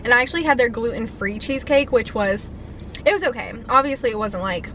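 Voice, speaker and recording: A woman's voice: on the high side, animated, fairly quick, a bit bright, a little hiss or hum. A woman in her twenties chatting casually and eagerly while eating on camera, answering viewer questions. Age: 20s. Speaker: food vlogger. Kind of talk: casual mukbang chat.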